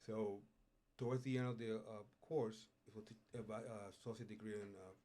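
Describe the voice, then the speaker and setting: Man, low voice, middle-aged, from Puerto Rico, easygoing storyteller with lots of "uh"s, studio guest. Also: moderate pace. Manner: relaxed storyteller with frequent hesitations. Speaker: culinary instructor. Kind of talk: casual interview. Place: radio studio.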